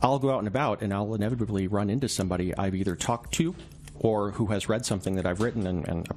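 A man's voice: fairly low pitch, fast talker, a little mellow. A man speaking as a reporter being interviewed, reflective and conversational, explaining himself through small anecdotes and examples. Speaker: newspaper reporter, interview guest. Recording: clear background noise and ambience.

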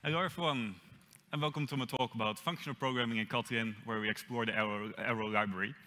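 A young man with a Dutch accent gives a conference talk on an auditorium stage, dropping in dry self-mocking jokes. His voice sounds bright and forward. A long reverb from the hall trails behind him. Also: mid-range voice, steady tone, fast. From Netherlands. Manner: self-deprecating, lightly joking. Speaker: conference speaker.

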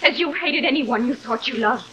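A woman's voice: sits high, lively intonation, normal speed, sharp tone. A young woman playing a weary, defiant actress daughter, earnest and dramatic, in theatrical 1950s television style. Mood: bitter. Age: young adult. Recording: hissy old 1950s television soundtrack.

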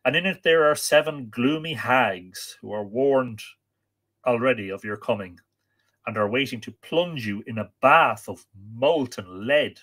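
A man's voice: medium pitch, lively intonation, a little slow, a bit bright. A man voicing a queenly woman in a storybook tale, pleading and warning her lover with imperious, dramatic tenderness. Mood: ominous warning.

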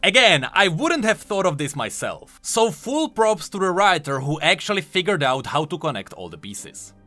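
A man's voice: sits high, very animated, moderate pace, bright, forceful tone. A man giving enthusiastic, emphatic explanatory commentary on video game lore. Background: faint music.

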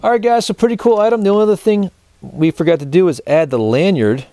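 A man's voice: sits high, fast, dark tone, dry recording. Casual man presenting gear, very dry sound. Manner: informal, colloquial, addressing viewers as guys. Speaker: host of a survival gear review show. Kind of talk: casual product demonstration to camera. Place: indoor studio with backdrop, dry sound.

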